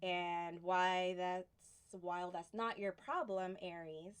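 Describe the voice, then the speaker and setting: Smooth-voiced woman giving a relaxed tarot reading. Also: fairly low pitch. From Houston. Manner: soft, unhurried, reflective delivery with pauses. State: sniffly from allergies. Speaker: tarot reader.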